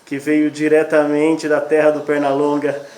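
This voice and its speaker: A Brazilian man hosting a casual, joking interview with a playful, teasing manner and quick banter. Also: on the high side, completely flat delivery, darkish tone, slight background noise. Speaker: host interviewing vocal coaches. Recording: roomy echo with voices around.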